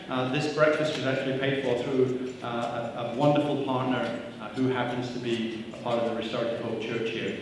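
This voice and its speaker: A man's voice: on the high side, slight background noise. A man giving a welcome speech as CEO at a breakfast event, upbeat and joking, with frequent "uh" fillers, on a handheld microphone. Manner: upbeat, self-deprecating jokes, frequent "uh" fillers. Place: church hall with audience. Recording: echoey hall, mic from a distance.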